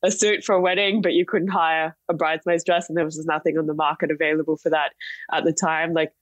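A woman's voice: low voice, level tone, fast, no room sound. A young woman from Singapore telling her story conversationally as an interview guest on a podcast. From Singapore, partly Australia. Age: young adult. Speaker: podcast guest, startup chief executive.

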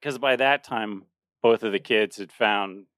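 A man's voice: on the low side, measured pace, fairly bright tone, no room sound, studio quality. A man in his late thirties co-hosting a podcast in a casual chat, rambling with frequent restarts and laughter. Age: 30s. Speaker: podcast co-host.

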